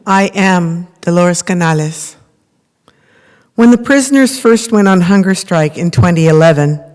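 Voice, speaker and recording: Woman acting a slow, clear-voiced earnest mother. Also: mid-range voice, darkish tone, lightly acted. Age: middle-aged. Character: earnest mother of a prisoner, campaigning. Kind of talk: readers theater monologue, read from script. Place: large temple hall, at a lectern. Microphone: microphone on a stand at lectern. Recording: reverberant hall recording.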